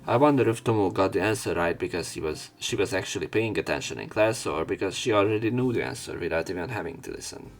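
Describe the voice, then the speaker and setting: Low-voiced man reading a game aloud. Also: lively intonation, fast talker, few pauses, faint music behind. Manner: informal, wry asides with swearing. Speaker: let's play commentator. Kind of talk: reading visual novel narration aloud with casual commentary.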